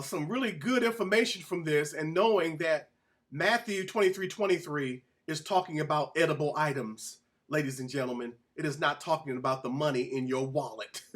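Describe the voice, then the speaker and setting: A man's voice: on the high side, fairly bright tone. A man giving an informal Bible-teaching sermon as a presenter, speaking emphatically, repeating phrases and putting questions to his listeners.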